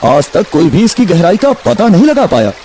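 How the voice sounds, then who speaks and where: A man voicing an ailing, anxious king in a dramatic, pleading manner for an animated bedtime story. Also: sits high, moderate pace, slight background noise. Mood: theatrical. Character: ailing, anxious king of a fairy-tale kingdom.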